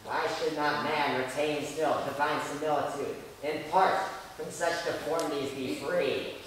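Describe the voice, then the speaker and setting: A man's voice: fairly high pitch, fairly even intonation, measured pace, a bit bright. A man playing a solemn, grandiose speaker of tragic archaic verse in a radio drama, heard from far off in an echoey hall. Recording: echoey hall, distant, faint noise.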